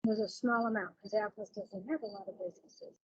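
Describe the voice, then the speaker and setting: A woman's voice: medium pitch, fairly even intonation, brisk, slightly rough, no room sound. A woman who is a business association member, talking informally in a meeting discussion on a muffled recording.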